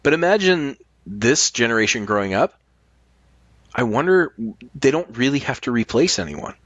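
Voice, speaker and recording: A man's voice: mid-range voice, sing-song, moderate pace, a bit bright, dry recording, dull audio. A man in his late forties chatting casually and candidly as a podcast guest, swearing freely. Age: late 40s. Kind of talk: casual chat.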